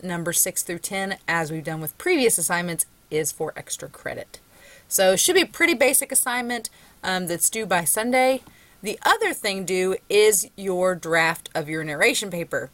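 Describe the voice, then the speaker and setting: A woman's voice: mid-range voice, animated, moderate pace, a bit bright, no echo. A woman with a Southern US accent, a college English instructor giving a conversational recorded lecture with frequent ums. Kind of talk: recorded lecture with screen share.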